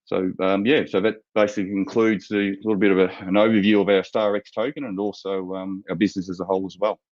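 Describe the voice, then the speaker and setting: Deep-voiced Australian founder, hesitant delivery, over a muffled, dry video-call line. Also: male voice, little pitch movement, darkish tone, no echo. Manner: halting, many fillers and restarts. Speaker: startup founder pitching to investors. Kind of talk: business pitch and Q&A.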